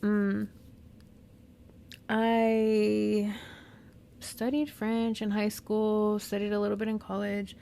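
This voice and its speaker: Gravelly-voiced woman, slow musing monologue. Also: medium pitch, flat delivery, dark tone. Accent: Southern California. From Mexican-American. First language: Spanish. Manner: slow, reflective, with pauses and fillers. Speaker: podcast host, therapist. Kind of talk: unscripted personal monologue.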